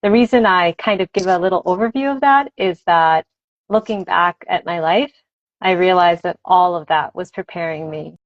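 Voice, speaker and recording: Woman, native Spanish speaker, slightly low pitch, conversational teacher, reflective, enthusiastic, dry muffled livestream audio. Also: moderate pace, dry recording. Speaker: language teacher and advocate, interview guest. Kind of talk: conversational explaining in a live interview. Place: dry close room on a video livestream.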